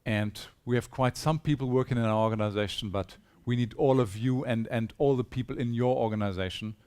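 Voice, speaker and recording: A man's voice: mid-range voice, brisk, darkish tone, clean tone. Brief: male conference speaker on stage, mid-range voice, earnest and persuasive, speaks into a stage mic. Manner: earnest, persuasive, poses rhetorical questions to the audience. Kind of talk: conference presentation. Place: conference hall.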